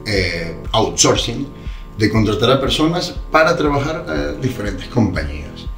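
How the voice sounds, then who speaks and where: A man in his thirties with a Venezuelan Spanish accent, telling his story casually in an interview, with hesitations and repeated words. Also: on the low side. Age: 30s. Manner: relaxed, repeats words for emphasis, frequent hesitations. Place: bright room indoors, seated on sofa. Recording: roomy sound with music under it.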